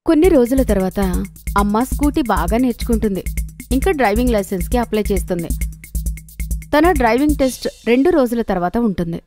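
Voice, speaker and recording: A woman's voice: a little high, expressive, fast talker, warm tone, faint music behind. A woman reading scripted narration for a children's story in speech.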